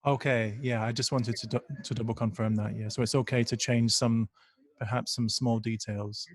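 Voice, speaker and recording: A man puts questions politely and tentatively on an online call. His voice is medium in pitch, and the sound is dry with no room echo. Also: level tone, moderate pace. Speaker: participant asking questions.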